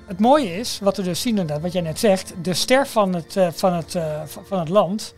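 A man's voice: high voice, expressive, normal speed. A man, co-hosting a podcast in casual conversation. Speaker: podcast co-host. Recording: background music under the talk. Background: ambient theme-park music.

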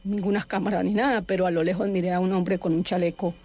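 A middle-aged woman gives her own account in an interview. She talks haltingly and restarts her sentences often. She sits a little too far from the microphone, so her voice comes through distant. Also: on the low side, little pitch movement, a little mellow. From Colombia. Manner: halting, with many restarts and fillers. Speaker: interview guest. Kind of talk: personal testimony in an interview. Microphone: sitting too far from the microphone.

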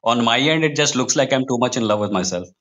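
A man's voice: medium pitch, animated, fast, fairly bright tone. A man with an Indian accent speaks as an interview guest in casual, self-deprecating conversation. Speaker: podcast guest, freelance writer.

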